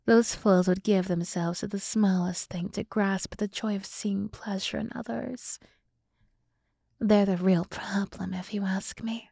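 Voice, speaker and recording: A woman playing a sultry, teasing demoness in an ASMR roleplay, speaking in a soft half-whisper with slow, condescendingly soothing deliberation, close to the microphone. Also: medium pitch, steady tone, vocal fry, breathy edge, no room sound. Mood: scornful, menacing. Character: sultry, teasing demoness summoned to serve a human.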